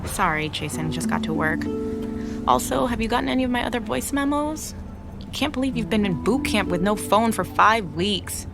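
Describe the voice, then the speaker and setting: Lively, playful young woman. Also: mid-range voice, normal speed, creaky, over music. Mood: playful, affectionate. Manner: teasing, conversational. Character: playful, affectionate young woman, small business owner. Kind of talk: naturalistic audio drama acting.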